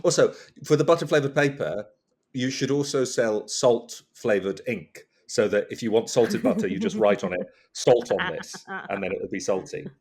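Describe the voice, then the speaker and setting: A man's voice: lowish voice, normal speed, a little mellow. A man guest on a comedy podcast, chatting casually with dry, whimsical humour. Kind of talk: casual comic chat.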